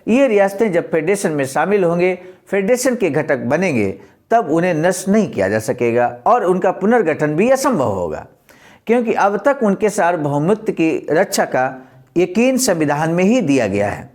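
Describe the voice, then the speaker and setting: A man's voice: high voice, big pitch swings, moderate pace, a little mellow. A man presenting a historical political speech, reading it aloud in a formal, explanatory and oratorical manner.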